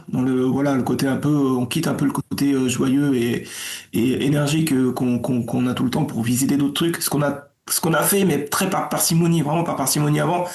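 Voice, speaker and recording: Gravelly French man in a roomy space. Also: medium pitch. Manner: relaxed, rambling. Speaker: band member. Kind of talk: casual interview answers. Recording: roomy echo.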